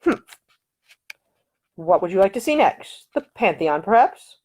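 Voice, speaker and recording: A woman voicing a prim, sharp-tongued young lady in an audiobook. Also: medium pitch, big pitch swings, unhurried. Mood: tart.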